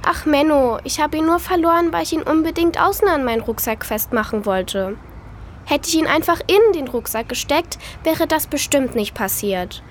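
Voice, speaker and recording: A woman's voice: sits high, a little hiss or hum, natural voice. A female performer playing an earnest young girl in a children's audio drama, thinking aloud in a childlike, simple way. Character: young girl, earnest, wistful, attached to her toy.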